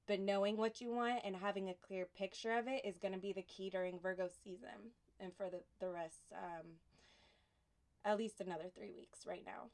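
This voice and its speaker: Smooth-voiced woman giving a relaxed tarot reading. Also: mid-range voice. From Houston. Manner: soft, unhurried, reflective delivery with pauses. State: sniffly from allergies. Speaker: tarot reader.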